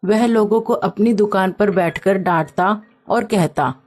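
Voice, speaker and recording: A woman's voice: medium pitch, measured pace, a little mellow. A woman reading a scripted story as its narrator.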